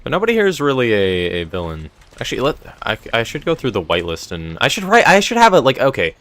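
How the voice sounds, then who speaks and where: A man's voice: medium pitch, huge pitch swings, quick pace, a bit bright, no echo. A young man chatting casually on a live stream, answering chat and rambling, his voice a little strained. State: voice feeling strained. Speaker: streamer running a game server.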